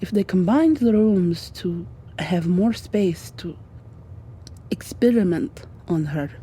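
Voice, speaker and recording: A woman voicing a devoted, guilt-ridden rescuer in an ASMR roleplay drama, hushed, halting and earnest. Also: fairly low pitch, slight background noise. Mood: confused, urgent.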